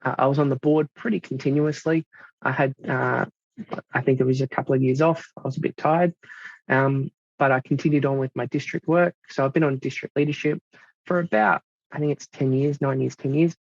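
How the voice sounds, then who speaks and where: An Australian man in his thirties gives a presentation, pausing often with ums and uhs. His voice has a gravelly edge, and the sound is dry and a little dull, as on a lo-fi recording. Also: mid-range voice, fairly even intonation, no room sound. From South Australia. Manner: halting, many fillers. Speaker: club leader presenting. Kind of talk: presentation to a club audience.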